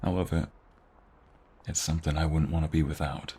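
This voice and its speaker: A man playing an affectionate, teasing young husband in an intimate ASMR roleplay, speaking tenderly in a hushed, husky, gravelly voice. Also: very deep voice, little pitch movement, a little fast. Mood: sincere, reflective. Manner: tender, teasing, hushed, lingering delivery with sighs and soft chuckles.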